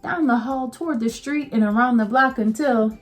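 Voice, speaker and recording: A woman teacher reading a picture book aloud to children, slow and expressive. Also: a little high, noisy background.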